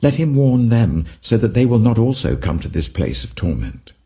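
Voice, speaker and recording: A man's voice: mid-range voice, very animated, moderate pace, mellow, pitched above the performer's own voice. A man acting a desperate, tormented rich man pleading. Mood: desperate begging. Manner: pleading, agonised.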